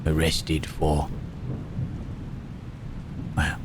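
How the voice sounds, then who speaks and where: A man playing a gentle, remorseful and protective huge monster, Frankenstein's creature, in a halting, hushed, soothing ASMR roleplay with formal phrasing. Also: very deep voice, completely flat delivery, full character voice. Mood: slow, reflective. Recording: loud rain and thunderstorm noise.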